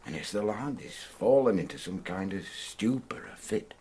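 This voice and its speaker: Radio drama: male actor as gossipy Yorkshire publican, deep, animated, plain-spoken, faint room noise. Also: moderate pace, lightly acted. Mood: recollecting. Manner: chatty, colloquial, chuckling. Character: chatty, blunt Yorkshire pub landlord, fond of gossip.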